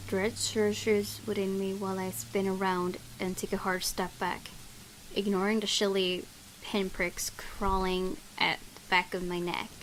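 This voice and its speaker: A woman's voice: medium pitch, a little slow, slight background noise, rough recording. A woman hosting a gaming video, reading a visual novel aloud dramatically and reacting with open emotion. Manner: expressive, exclaiming at the game.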